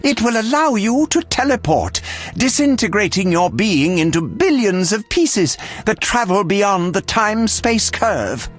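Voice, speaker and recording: An actor playing an eccentric, self-important alchemist in a video game, obsessive and theatrical, with fussy delivery. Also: male voice, sits high, very animated, a little slow, a bit bright, soft background music, heavily acted. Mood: pompous, eager.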